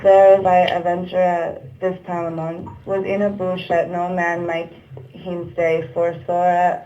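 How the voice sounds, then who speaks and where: A woman narrating a puppet show, reciting old verse in a measured, declamatory manner. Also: lowish voice, little pitch movement, warm tone, highs cut off.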